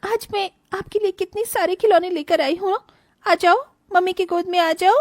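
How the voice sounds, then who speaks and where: A woman playing a selfish, vain, irritable young mother in an animated moral story, with a whiny, dramatic delivery. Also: very high voice, normal speed, dry recording, clean studio sound. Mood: playful, cooing.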